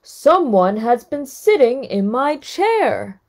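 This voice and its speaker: A woman voicing a big, grumbling father bear in a storybook read-aloud, speaking loud and slow. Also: fairly high pitch, very animated, voice pushed up, heavily acted. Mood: gruff.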